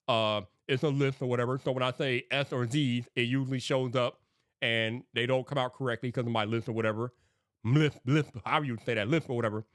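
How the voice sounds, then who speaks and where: A man's voice: mid-range voice, a bit bright, dry recording, clean studio sound. A man with a lisp, talking earnestly and casually to his livestream chat as a tech creator. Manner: earnest, rambling, sometimes hypes viewers. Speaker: tech YouTube creator live streaming.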